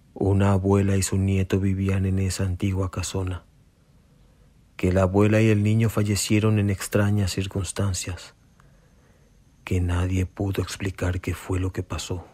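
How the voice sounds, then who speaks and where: Very deep, even-toned male horror narrator. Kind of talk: dramatic scripted horror radio narration. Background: prominent music.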